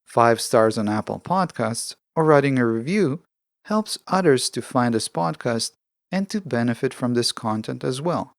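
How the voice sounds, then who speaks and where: A man hosting a solo self-help podcast in a prepared monologue, explaining things in a measured, deliberate and earnest way. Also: medium pitch, animated, creaky, no echo, clean studio sound. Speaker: podcast host.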